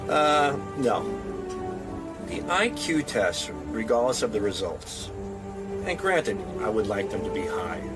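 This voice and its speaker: A man's voice: lowish voice, very animated, slow, loud music behind the voice. A man giving casual interview answers in a joking, self-assured manner. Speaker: radio show staff member.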